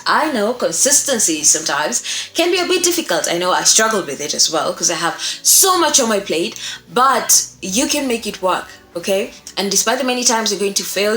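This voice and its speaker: A woman's voice: mid-range voice, a bit bright. A young woman giving a casual, encouraging tutorial to camera, repeating key points for emphasis. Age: young adult. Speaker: voice acting instructor. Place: small indoor room. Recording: echoey room, faint music behind.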